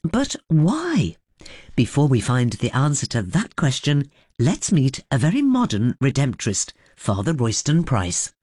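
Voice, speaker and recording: A presenter with a mellow tone leads an interview. The voice rises and falls a great deal, moving between scripted introductions and curious questions. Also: mid-range voice, huge pitch swings, a little slow, breathy edge. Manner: warmly inquisitive, scripted introductions. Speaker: radio presenter. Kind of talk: hosting an interview.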